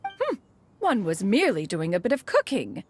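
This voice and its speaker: A woman's voice: medium pitch, wildly swooping pitch, normal speed, husky, exaggerated voice. A woman voicing an ancient, aloof female crane spirit who speaks formally and calls herself "one", in a video game. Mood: poised. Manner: archaic formal speech, refers to herself as "one".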